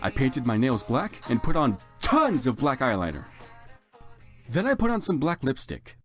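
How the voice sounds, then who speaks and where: A man's voice: mid-range voice, huge pitch swings, normal speed, faint music behind. A man giving a dramatic, comedic story reading as narrator, with theatrical and exaggerated emphasis.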